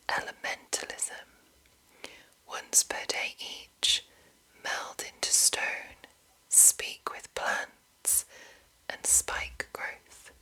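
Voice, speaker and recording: A woman ASMR host speaking entirely in a soft, breathy, unvoiced whisper to her viewers. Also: rough recording. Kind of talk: whispered ASMR reading of game rulebook and chat to viewers.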